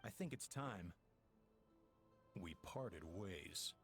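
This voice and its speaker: A man's voice: low-pitched, lively intonation, drawn-out words, fairly bright tone, soft background music, exaggerated voice. A man voicing a stern villain operative in a video game, formal, controlled and commanding. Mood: coolly threatening.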